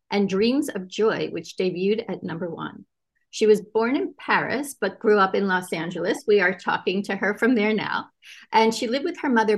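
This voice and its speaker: A woman's voice: mid-range voice, gravelly. A woman with a mid-range voice hosts an author interview. She delivers scripted introductions and questions effusively, as a show's presenter. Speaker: show host and producer.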